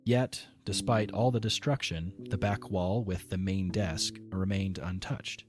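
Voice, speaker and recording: A man giving scripted narration for a fan audio drama, in a measured, even storytelling manner. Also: low-pitched, music in the background.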